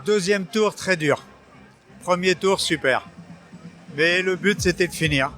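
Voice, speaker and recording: A man's voice: high voice, a little slow, fairly bright tone, faint music behind. A man, a race athlete, giving terse, clipped interview answers.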